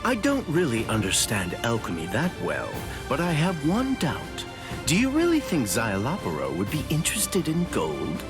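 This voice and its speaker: A man's voice: mid-range voice, huge pitch swings, normal speed. An actor playing a sly, playful man in an anime game, teasing and drawling with mock innocence and theatrical curiosity. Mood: sly musing. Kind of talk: anime video game voice acting. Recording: music behind. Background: background music.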